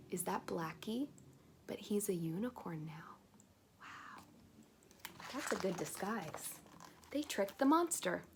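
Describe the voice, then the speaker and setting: A woman reading a picture-filled chapter book aloud to young children, expressive and pausing to put questions to her listeners. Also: medium pitch, slightly rough.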